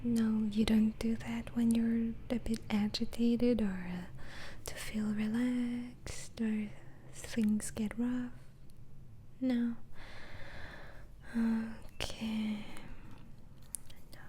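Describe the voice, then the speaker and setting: Very slow whispering woman, ASMR doctor role-play. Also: a little high, little pitch movement, slight background noise. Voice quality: soft, breathy whisper. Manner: halting, gentle, murmured questioning with pauses. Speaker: ASMR performer role-playing an interviewing doctor.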